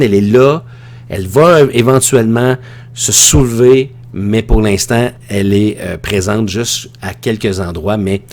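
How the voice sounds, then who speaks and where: A man with a Quebec French accent teaching an informal online lecture in a conversational, opinionated way that often digresses. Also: medium pitch. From Quebec, Canada. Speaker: high school teacher.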